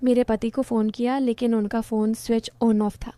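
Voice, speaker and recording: A woman narrating a first-person story in a steady storytelling tone. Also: a little high, moderate pace, clear, resonant tone, no room sound.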